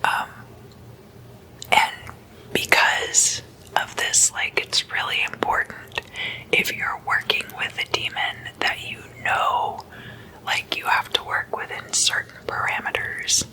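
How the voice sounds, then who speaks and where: A person whispering in a breathy, unvoiced voice, chatting and explaining as an ASMR host in a deliberate manner. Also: bright, forceful tone, rough recording. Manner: slow, deliberate, with drawn-out pauses.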